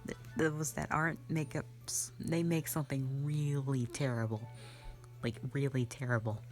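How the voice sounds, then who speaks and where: Casting: actress as an easygoing, flirty sorceress mentor for fantasy audio roleplay; deep female register, leisurely and offhand, drifting into asides. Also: fairly even intonation, unhurried, a little mellow, soft background music. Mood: chatty, musing. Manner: rambling, hesitant, offhand, conversational with frequent asides.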